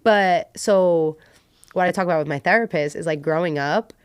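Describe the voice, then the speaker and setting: A woman talking casually as a podcast guest, animated and slangy, telling personal stories and acting out what people said. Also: lowish voice, moderate pace, smooth, no room sound, clean studio sound. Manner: animated, slangy, acts out quoted lines. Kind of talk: casual personal storytelling in conversation.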